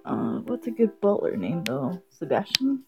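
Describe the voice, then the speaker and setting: A woman live-streaming a game, chatting casually and haltingly as if half to herself. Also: fairly low pitch, sing-song. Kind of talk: casual live-stream chat while setting up and playing a visual novel. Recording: dull lo-fi mic with music behind.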